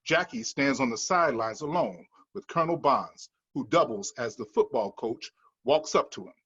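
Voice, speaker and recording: Husky man reading screenplay directions. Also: mid-range voice, lively intonation, normal speed, a bit bright, muffled recording. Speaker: stage directions reader. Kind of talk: scripted screenplay narration at a table read.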